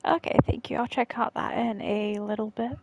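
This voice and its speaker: A woman live streamer chatting casually while gaming, halting and easygoing. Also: on the high side, steady tone, gravelly, darkish tone, no room sound. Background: game music.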